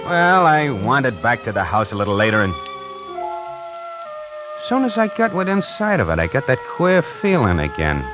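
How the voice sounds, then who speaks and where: A man's voice: medium pitch, sing-song, normal speed, close to an everyday voice. Man playing a brash New salesman. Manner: colloquial, wry storyteller. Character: streetwise male salesman narrating, brash. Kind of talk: 1940s radio acting. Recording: vintage 1940s radio broadcast with music.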